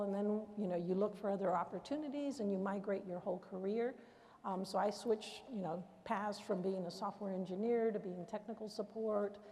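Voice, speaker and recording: A woman's voice: medium pitch, dark tone. A middle-aged woman from South Texas introducing herself on a live panel in a chatty, self-deprecating way. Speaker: panelist, engineer. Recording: reverberant hall.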